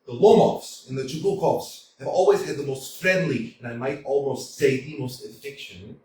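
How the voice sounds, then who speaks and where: A man voicing a nervous, pedantic young gentleman suitor in a staged reading of a stage comedy, stammering and fussily formal. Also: mid-range voice, normal speed, lightly acted. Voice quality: stammering, hesitant, fussy formality. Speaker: community theater actor. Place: small community theater. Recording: echoey room, from far off, faint noise.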